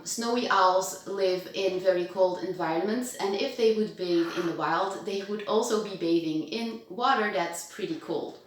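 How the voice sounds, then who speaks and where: A woman's voice: mid-range voice, fairly bright tone. A woman in her thirties from Belgium, chatting casually through a home tutorial vlog, bubbly and talking to her pet owl and viewers. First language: Dutch. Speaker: owl-keeping vlogger. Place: home kitchen, indoors. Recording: very echoey room.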